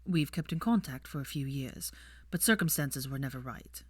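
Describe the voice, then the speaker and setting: A woman voicing a calm, sardonic young aristocratic man in an audiobook story, quiet and matter-of-fact. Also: extremely low pitch, normal speed, no echo, clean studio sound. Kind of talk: audiobook character voice.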